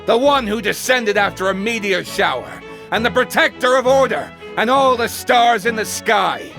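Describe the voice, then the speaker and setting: Casting: zealous royal herald for anime game, very high-pitched, forceful, formal and pompous. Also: male voice, moderate pace, vocal fry, soft background music, exaggerated voice. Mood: proclaiming. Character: pompous, zealous herald guard of a fantasy king.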